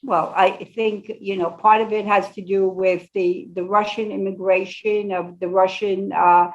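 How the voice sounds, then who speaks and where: An older woman asks her questions slowly, stopping often to hunt for the right word. The recording sounds slightly dull and muffled. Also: medium pitch, level tone. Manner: hesitant, searching for words with many fillers. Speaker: interviewer. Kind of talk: interview questions.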